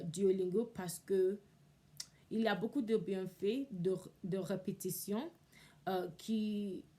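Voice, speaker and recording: A woman's voice: mid-range voice, warm tone. A young woman, a native English speaker, talking casually to camera in hesitant learner's French, often stopping to search for words.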